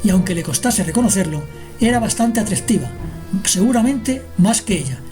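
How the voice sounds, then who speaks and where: Mellow female radio drama narrator. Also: medium pitch, expressive, normal speed. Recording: music behind the narration.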